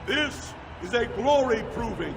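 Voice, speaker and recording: Male dwarven arena announcer, high-set voice, formal and proud, proclaiming fantasy game bouts over loud music. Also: animated, measured pace, gravelly, full character voice. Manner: grandiose, declamatory, deferential to nobility.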